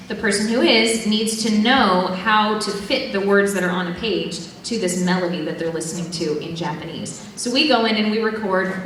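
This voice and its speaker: A woman's voice: medium pitch, a little hiss or hum. A woman voice actress explaining the recording process on a convention panel in a chatty, upbeat way. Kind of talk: casual panel explanation to a live audience. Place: large convention hall. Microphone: audience member's camera from far off. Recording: distant echoey hall audio from audience.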